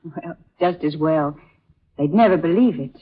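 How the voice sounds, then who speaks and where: Slow, husky radio sweetheart. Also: female voice, low-pitched, muffled recording. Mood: relieved. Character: Judy, tender young woman, hero's sweetheart. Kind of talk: 1940s radio acting.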